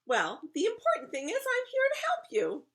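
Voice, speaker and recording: A woman's voice: very high-pitched, huge pitch swings, moderate pace, fairly bright tone, voice pushed up, heavily acted. A woman voicing a confused but eager little man who is a fairy godmother in a children's storybook. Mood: eager, unsure. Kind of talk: children's storybook read-aloud.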